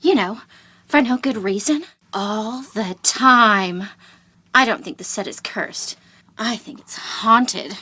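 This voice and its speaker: Superstitious, gossipy set worker, game role, bright casual delivery, dry and close. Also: female voice, on the high side, slow pace, no echo, full character voice. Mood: gossipy, spooked.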